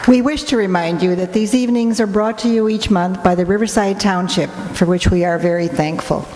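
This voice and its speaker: A woman's voice: medium pitch, fairly quick, crowd noise, noisy background. Woman announcer in an echoey, crowded hall. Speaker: announcer giving closing thanks. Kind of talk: formal scripted closing remarks. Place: auditorium stage, live before audience.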